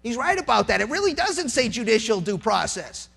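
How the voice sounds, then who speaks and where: A man's voice: very high voice, sing-song, brisk, gravelly, fairly bright tone, breathy edge. An American man, a lawyer, giving an impassioned public speech, emphatic and sardonic, using rhetorical questions and repeating phrases for effect.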